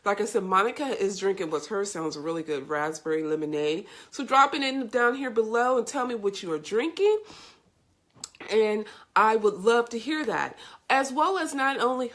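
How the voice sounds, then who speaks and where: A woman's voice: on the high side, fairly bright tone. A woman hosting a casual live stream, upbeat and effusive, greeting viewers by name, with a congested, scratchy voice from a cold. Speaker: host of a live video show.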